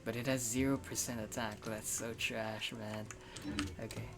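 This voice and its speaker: Casual male gamer under loud music. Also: on the low side, level tone, measured pace, vocal fry. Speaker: gamer doing a playthrough. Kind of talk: casual gameplay commentary. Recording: distant mic under loud game music.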